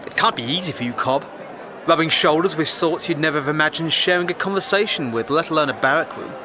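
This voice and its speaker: An actor playing a polite, educated English war correspondent, speaking in a measured, formal manner in an audio drama. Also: male voice, on the high side, lively intonation, a crowd in the background, natural voice. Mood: measured, inquiring.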